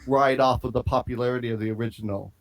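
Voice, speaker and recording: A man giving casual critique in a group chat, measured and analytical. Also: medium pitch, fairly even intonation, poor audio. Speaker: guest reactor.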